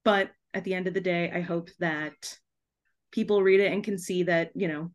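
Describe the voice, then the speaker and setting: A woman's voice: fairly low pitch, steady tone, normal speed. Chatty, giggly woman in casual conversation. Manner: chatty, giggly, effusive, frequent "like" and "kind of". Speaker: guest author and podcast host. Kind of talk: casual podcast interview chat.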